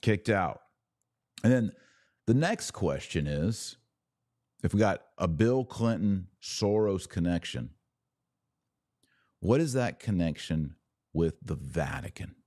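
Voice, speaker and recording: A man's voice: sits low, expressive, slow pace, pauses often, darkish tone, dry recording, clean studio sound. A man hosting a podcast with conversational opinion commentary, talking emphatically with repeated words, rhetorical questions and a wry, sarcastic edge. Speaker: podcast host.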